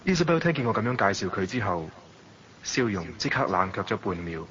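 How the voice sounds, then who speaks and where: An actor playing a suave, worldly gentleman narrating and talking in a radio drama, with a Hong Kong Cantonese accent. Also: lowish voice, very animated, fairly quick. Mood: reflective, measured. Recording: dull lo-fi audio, noisy background.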